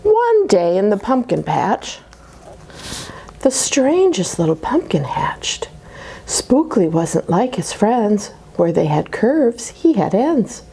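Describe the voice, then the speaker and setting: A woman reading a rhyming children's picture book aloud at a church story time, expressive, dropping to dramatic whispers. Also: medium pitch, slow, creaky, slight background noise.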